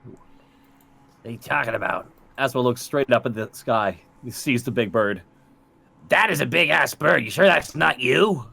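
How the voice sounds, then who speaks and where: A man's voice: medium pitch, expressive, moderate pace, creaky, pushed and bright, slightly rough. A man playing a tabletop role-playing game, bantering casually and bluntly as he speaks for his character.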